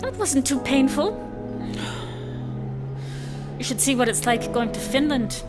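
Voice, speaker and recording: A high-pitched, chatty, tender-hearted woman played by an actress in a radio drama about a camping road trip. Music plays clearly behind her voice. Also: expressive, measured pace.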